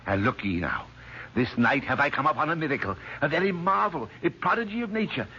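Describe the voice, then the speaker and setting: A self-important old physician of biblical times in a vintage radio play, with a bright, husky voice. He declaims in florid archaic English, swooping grandly up and down. The old recording is dull and boxy. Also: fairly high pitch, very animated, a little fast, slight background noise, exaggerated voice. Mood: excited, self-important. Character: pompous, boastful, portly older physician of ancient Bethlehem.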